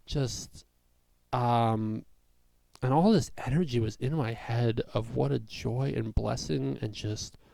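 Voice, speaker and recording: Young male comedian, raspy, rambling chatty vlog delivery, dry room. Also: mid-range voice, takes it slowly, mellow, no echo. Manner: rambling, full of fillers and restarts.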